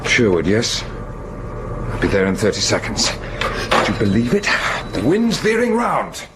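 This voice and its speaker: An impatient, bitter engineer in a radio drama. His speech is low and slow but swings widely in pitch, with loud music underneath. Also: huge pitch swings, very noisy. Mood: agitated. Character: frustrated, impatient male engineer, bitterly swearing.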